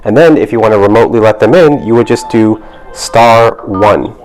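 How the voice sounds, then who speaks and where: A man's voice: on the low side, normal speed, a little mellow, poor audio. A man demonstrating a product, explaining it step by step in an instructional way.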